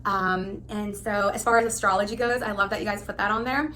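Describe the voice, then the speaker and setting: A woman in her thirties, originally from Pakistan, giving a spontaneous, rambling, animated self-introduction. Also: medium pitch, fairly quick, a little hiss or hum, poor audio. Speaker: live stream host applicant.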